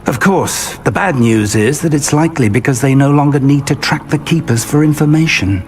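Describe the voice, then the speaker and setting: An actor playing an earnest middle-aged wizard professor and mentor in a video game, speaking in a deliberate, articulate, scholarly way. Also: male voice, fairly high pitch, darkish tone, close to an everyday voice. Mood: musing, confiding. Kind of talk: dramatic video game voice acting. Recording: music playing behind the voice. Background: game music.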